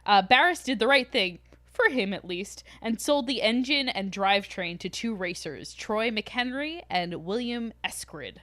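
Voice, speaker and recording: Irreverent woman podcaster, higher voice, close dry sound. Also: animated, unhurried, pushed and bright, no echo. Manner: irreverent, sweary, jokey. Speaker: podcast host. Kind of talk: casual storytelling chat.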